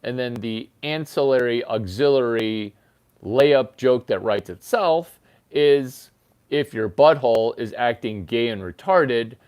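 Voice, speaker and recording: A man hosting his own channel, rambling through a crude comedy monologue in a mock-lecture manner with sudden jumps in pitch for emphasis. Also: slow pace, clear, resonant tone. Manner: rambling, deadpan lecture-style bathroom humor. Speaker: channel host.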